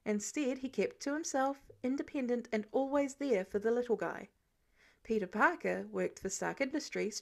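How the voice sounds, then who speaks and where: Woman, slightly high pitch, story narrator, scripted audiobook reading.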